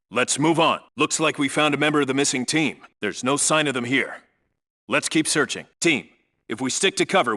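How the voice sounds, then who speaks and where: An actor playing a terse, commanding Navy SEAL team leader, giving clipped, businesslike reports in a military video game. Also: male voice, medium pitch, sharp tone.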